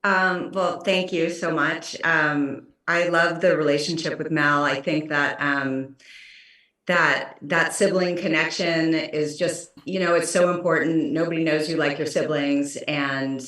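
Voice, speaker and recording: A woman's voice: deep voice, fairly even intonation, measured pace, fairly bright tone, heavy reverb, far from the mic. A woman actor chatting on a video call, answering thoughtfully with many ums and you knows. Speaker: actor guest. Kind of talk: casual video call chat.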